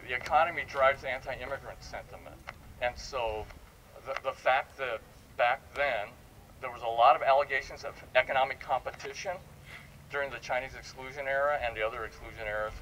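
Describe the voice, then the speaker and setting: A man's voice: medium pitch, a little fast, fairly bright tone. A man speaking informally as he leads a discussion with students, probing with questions and repeating words. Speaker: panel speaker leading audience discussion. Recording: distant mic, noisy room.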